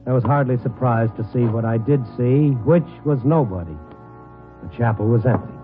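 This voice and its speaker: Hardboiled, wry newsman played by a male actor, mid-pitched, old radio noir delivery, narrow vintage broadcast sound with music. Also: normal speed, natural voice. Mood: wry, brooding. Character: world-weary, wisecracking adult male reporter narrating night cases. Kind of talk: 1950s radio noir acting and narration. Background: dramatic background music.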